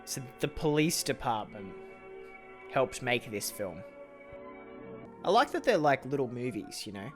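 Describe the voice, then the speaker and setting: A man's voice: mid-range voice, very animated, measured pace, long pauses, over music. Man giving cheeky, sarcastic reaction commentary. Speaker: reaction video host. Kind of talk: casual jokey reaction commentary.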